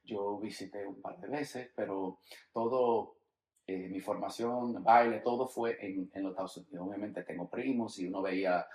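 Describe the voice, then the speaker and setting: A man from New York hosting a podcast, asking questions and telling anecdotes in a relaxed, conversational way. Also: on the low side, normal speed, echoey room, low-quality recording. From New York, United States. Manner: relaxed, conversational, acts out quotes. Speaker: podcast host. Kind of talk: casual podcast interview.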